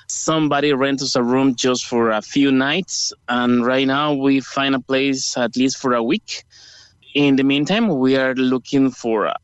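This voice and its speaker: A man's voice: medium pitch, steady tone, clear, resonant tone, dry recording. Salvadoran man on a dull phone line. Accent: Salvadoran Spanish. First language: Spanish. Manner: earnest, halting non-native English. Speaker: radio phone-in caller. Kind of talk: conversational interview answers.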